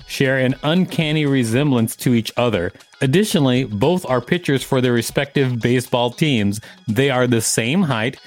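A man with a medium-pitched voice co-hosts a podcast. He reads encyclopedia-style text aloud and adds casual remarks. Faint music plays behind him. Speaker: podcast co-host. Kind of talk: reading an article aloud with casual commentary.

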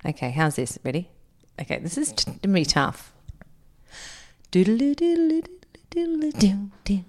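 A woman's voice: medium pitch, huge pitch swings, normal speed, darkish tone. A woman co-hosting a casual podcast, teasing her partner and humming TV theme tunes with lots of giggles. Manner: playful, giggly, bossy teasing. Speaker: podcast co-host.

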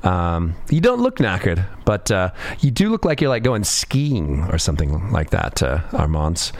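A man's voice: deep voice, big pitch swings, a little slow, darkish tone, no echo. A man hosting a radio music show, chatting in an easygoing, rambling way with lots of ums and uhs, a little sniffly from a cold. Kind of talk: laid-back radio DJ chat.

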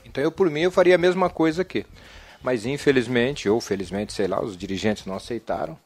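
Blunt, slangy male coach, middle pitch, casual storyteller. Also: quick pace. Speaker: guest, football coach. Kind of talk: casual chat.

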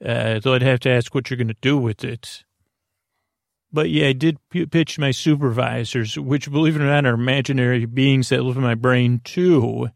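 A man hosting a sleep podcast, wandering through a silly, halting bedtime monologue full of restarts and asides. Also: mid-range voice, fairly even intonation, creaky, dry recording, clean studio sound. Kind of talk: rambling, meandering bedtime monologue.

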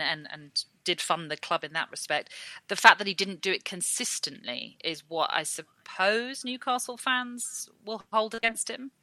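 Female podcast host, lowish and bright, easy conversational questioning with some doubled-back phrasing. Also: dry recording, low-quality recording. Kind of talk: conversational hosting.

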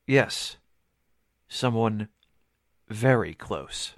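An actor playing a hesitant, nervous witness in a 1940s-style radio drama, halting and hedging as he confides. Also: on the low side, animated, dry recording, studio quality, full character voice. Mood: hesitant, evasive.

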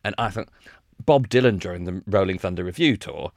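A man telling a rambling anecdote as a comedy podcast guest, halting and laughing as he goes. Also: sits low, a little mellow, no room sound, studio quality. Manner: halting, many restarts, laughs often.

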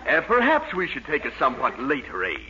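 A man's voice: medium pitch, wildly swooping pitch, fairly quick. A man acting a pompous, easily exasperated middle-aged psychiatrist in a 1940s radio comedy. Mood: patronizing. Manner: pompous, jargon-laden, exasperated. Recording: noisy, muffled 1940s radio recording.

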